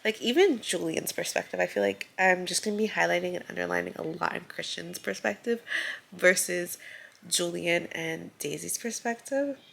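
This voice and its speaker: A woman's voice: lowish voice, normal speed, vocal fry, fairly bright tone. A young woman with a congested, stuffy voice, chatting casually about her reading in a rambling vlog, full of "like". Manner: chatty, heavy use of filler "like". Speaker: book vlogger.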